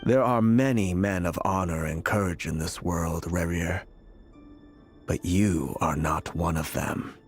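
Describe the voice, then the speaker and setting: Deep, weary, solemn prophet. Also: male voice. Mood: knowing, accusing. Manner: grave, deliberate, eloquent. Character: weary, blinded male prophet, solemn and fatalistic. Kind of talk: video game voice acting. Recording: game dialogue with music behind.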